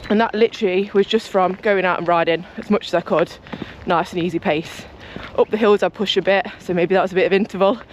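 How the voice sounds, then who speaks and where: A young woman, chatting casually to her camera as a cycling vlogger, rambling and talkative, her voice a bit croaky from just waking up. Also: fairly low pitch, fairly even intonation, fast talker, hardly any pauses, muffled recording, slight background noise. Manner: chatty, rambling, talks a lot. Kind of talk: casual vlog chat to camera. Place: home, then outdoors on a walk.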